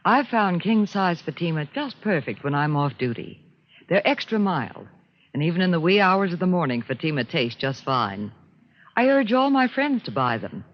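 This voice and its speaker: A man's voice: fairly high pitch, sing-song, normal speed. A man reading a scripted 1950s radio testimonial in a rehearsed, earnest manner. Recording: dull old radio recording.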